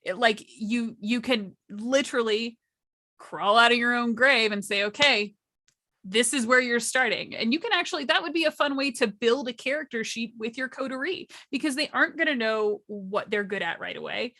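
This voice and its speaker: A woman's voice: fairly high pitch, moderate pace, sharp tone, no room sound, clean studio sound. A woman co-hosting a casual tabletop gaming chat in a playful, emphatic, bantering way. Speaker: workshop co-host.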